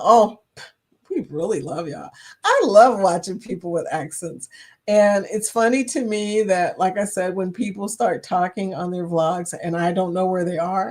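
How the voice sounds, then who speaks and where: Chatty woman hosting a live chat. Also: medium pitch. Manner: laughs often, chatty and animated, reads out comments. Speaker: livestream chat host. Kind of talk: casual live chat, reading viewer comments.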